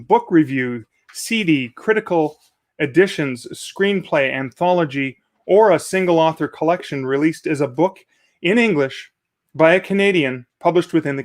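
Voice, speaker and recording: Male emcee over a video call, slightly high voice, light jokes between award reads. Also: normal speed. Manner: jokey, upbeat emcee. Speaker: awards host. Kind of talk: live-streamed awards presentation.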